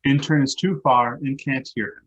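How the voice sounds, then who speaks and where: A man with a slightly husky voice reading stage directions as scripted narration for a play. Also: mid-range voice, steady tone, moderate pace, lo-fi sound. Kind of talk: scripted narration at a live table read.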